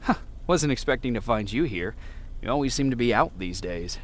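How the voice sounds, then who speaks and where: Guy acting a game soldier dude, curious and dutiful, very animated pitch, bit of background noise. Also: normal speed, natural voice. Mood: casual. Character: male resistance soldier, dutiful and inquisitive.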